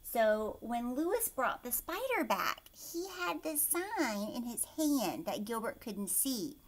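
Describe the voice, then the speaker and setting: A woman reads a children's story in a high, very animated voice. She takes her time, stepping aside from the text to explain and put questions to young listeners. She sounds like a teacher at storytime, expressive and clear in purpose. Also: takes it slowly, rough recording. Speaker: teacher reading a picture book aloud.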